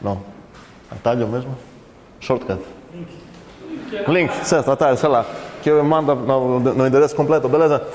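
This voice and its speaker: A man's voice: mid-range voice, normal speed, long pauses, a little mellow. A man with a foreign accent teaching a university math class, chatting with students and often rephrasing himself as he searches for words. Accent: foreign accent in Portuguese. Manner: conversational, asks the class questions, self-correcting. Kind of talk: classroom lecture. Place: lecture hall. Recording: reverberant lecture hall with faint noise.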